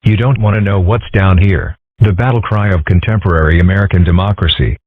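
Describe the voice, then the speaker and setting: A man voicing a calm, dry-witted, philosophical furry friend in a scripted comic-strip dub. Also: low voice, brisk, no room sound. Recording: muffled, phone-like narrow band.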